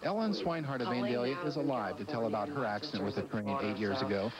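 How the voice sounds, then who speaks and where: A man's voice: medium pitch, quick pace, a loud crowd. A man narrating a scripted public affairs television news segment, recorded on a noisy old VHS tape.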